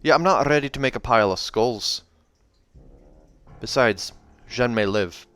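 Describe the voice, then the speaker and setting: A man voicing a gruff, suspicious dwarf warrior in a storybook, terse and blunt, with a put-on accent. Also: mid-range voice, measured pace, a bit bright, lightly acted. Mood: probing.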